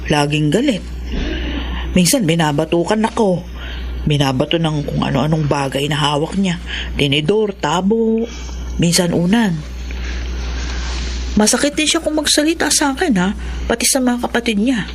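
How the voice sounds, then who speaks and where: A woman's voice: fairly low pitch, lively intonation, moderate pace, pauses often, slight background noise, close to an everyday voice. A woman playing a humble, respectful middle-aged mother who works as a housemaid, with a Filipino accent, in a radio drama. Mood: reflective.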